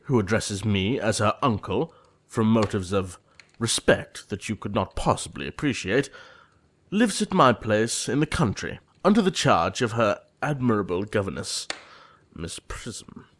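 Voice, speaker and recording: Man playing a proper, animated young gentleman. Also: mid-range voice. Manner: formal, indignant, precise. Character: earnest, exasperated young upper-class gentleman, stuffy and proper. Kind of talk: audio play acting, period comedy.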